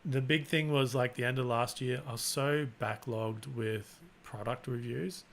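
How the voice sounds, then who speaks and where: A man hosting a live stream, chatting casually in a flat, rambling way and often restarting his sentences. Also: mid-range voice, measured pace. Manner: self-described monotone, rambling with restarts. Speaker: live-stream host and content creator.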